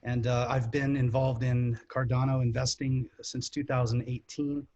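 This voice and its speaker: A man's voice: medium pitch, level tone, moderate pace, a little mellow, highs cut off. A man from California, a non-technical panel member, talking plainly and using everyday comparisons. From California, United States. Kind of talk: casual panel talk.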